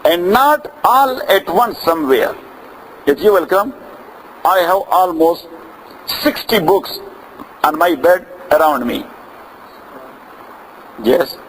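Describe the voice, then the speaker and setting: A man's voice: high voice, lively intonation, slow pace, long pauses, fairly bright tone, slight background noise, poor audio. A man with a Pakistani accent, English not his first language, lecturing to students, repeating phrases and checking that they follow. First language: neither Arabic nor English. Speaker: teacher. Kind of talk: classroom lecture on inheritance law.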